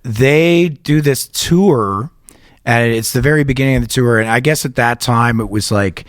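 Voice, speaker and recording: A man's voice: mid-range voice, normal speed, no room sound, studio quality. Rambling, profane middle-aged man. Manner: rambling, profane, laughs through his stories. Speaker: podcast co-host. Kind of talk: casual chat and storytelling.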